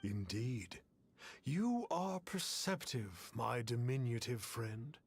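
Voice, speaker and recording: A pompous masked false sage. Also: male voice, medium pitch, expressive, background noise. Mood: lofty. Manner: grandiose, archaic and slow. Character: masked pompous fake sage, middle-aged man. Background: game music.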